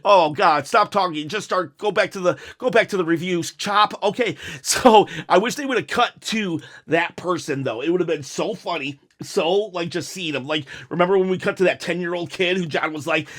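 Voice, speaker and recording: Raspy-voiced jokey wrestling review host. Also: male voice, high-pitched, moderate pace, hardly any pauses, fairly bright tone. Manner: jokey, self-mocking, rambling asides. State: hoarse, recovering voice, coughing. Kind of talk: rambling one-take video review.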